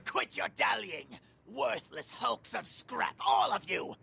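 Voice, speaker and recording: A man playing a haughty, threatening robot villain in a video game, with a harsh, raspy, drawn-out delivery. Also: high voice, expressive, bright, forceful tone, exaggerated voice. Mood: imperious, menacing. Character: sneering, tyrannical robot warlord underling, male.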